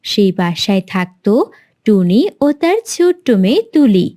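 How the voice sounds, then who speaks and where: A woman's voice: mid-range voice, very animated, a little slow, dark tone. A woman narrating a scripted children's story as its storyteller.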